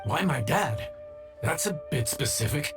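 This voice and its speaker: A man's voice: mid-range voice, measured pace, loud music behind the voice. A man voicing an earnest, polite young chef with a nervous streak in a video game. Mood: nervous, flustered. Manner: earnest, expressive.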